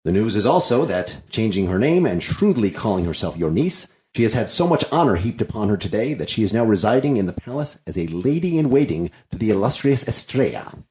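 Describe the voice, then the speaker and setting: A man's voice: fairly low pitch, big pitch swings, a little fast, dark tone. A man reading the part of a wordy, complaining comic servant in a classical play, with wry, theatrical flourishes. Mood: gossipy, eager. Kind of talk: unrehearsed dramatic play reading of classical comedy. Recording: echoey room.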